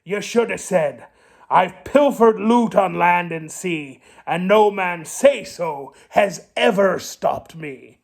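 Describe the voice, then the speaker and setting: Gravelly pirate captain, cranky, blustering, slow drawn-out delivery, salty storybook dialect. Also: male voice, high voice, exaggerated voice. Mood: exasperated.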